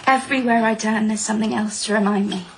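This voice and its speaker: A woman playing a brittle, guarded widow in a radio drama, restrained and faintly bitter, with a breathy voice. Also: medium pitch, steady tone, quick pace, noisy background, close to an everyday voice. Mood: subdued, wistful. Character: guarded, brittle, sweet-faced young widow of a businessman. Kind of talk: naturalistic radio drama dialogue.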